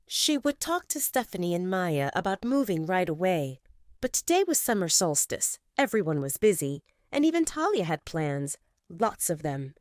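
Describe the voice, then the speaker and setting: Lady reading a romance story, lowish voice that swings up and down a lot, super dry sound. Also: moderate pace, no room sound. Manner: expressive, swinging in tone. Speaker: narrator. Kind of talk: scripted audio story narration.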